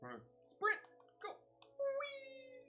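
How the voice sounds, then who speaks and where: A man's voice: very high voice, wildly swooping pitch, slow, pushed and bright, faint music behind. A man streaming a video game, casually thinking aloud and narrating each of his moves. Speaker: gaming streamer. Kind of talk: casual gameplay commentary.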